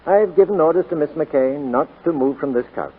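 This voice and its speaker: An actor playing a firm but kindly doctor giving orders in a 1940s radio drama. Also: male voice, fairly high pitch, expressive, fairly quick, a little hiss or hum, close to an everyday voice. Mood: firm, patient. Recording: thin, narrow 1940s radio recording.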